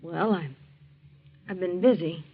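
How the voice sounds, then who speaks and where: A woman's voice: on the low side, slow pace, husky, full character voice. An actress playing a proud, determined young woman from the poor side of town in an old-time radio drama, speaking with defiant emphasis. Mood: hesitant, guarded. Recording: muffled old radio recording.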